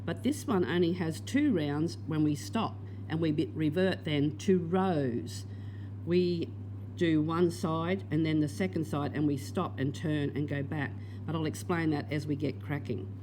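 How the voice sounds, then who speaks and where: A woman guides learners through a crochet block in a patient, step-by-step way. Her voice is worn and hoarse. A faint hum sits under the recording. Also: sits low, a little slow, warm tone, low-quality recording. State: losing her voice. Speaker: crochet teacher. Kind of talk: crochet tutorial.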